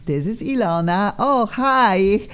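A woman's voice: mid-range voice, animated, slow, a bit bright, smooth. A woman with a Polish accent hosting a live radio interview show, effusive and hesitant with many fillers. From Poland.

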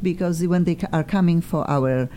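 A woman's voice: fairly low pitch, moderate pace, a little hiss or hum. Effusive woman hosting a chat show. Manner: effusive, excitable, rambling. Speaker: talk show host.

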